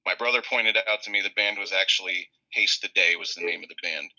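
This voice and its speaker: Male podcast guest, low and bright voice, easygoing and profane. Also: fast talker, low-quality recording. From New York State, US. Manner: laid-back, swears casually, laughs often. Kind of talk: casual group podcast chat.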